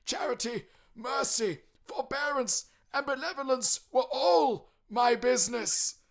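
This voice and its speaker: Anguished male ghost, raised wailing pitch, slow declamation, loud, storybook drama. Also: creaky, exaggerated voice. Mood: anguished crying out. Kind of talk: theatrical audiobook character acting.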